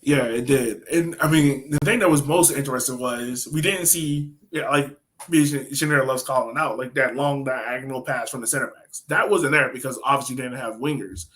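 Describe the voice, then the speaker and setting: A man's voice: medium pitch, fairly quick, few pauses, fairly bright tone. An American man co-hosting a sports podcast, chatting casually and animatedly in slangy phrasing as he breaks down soccer.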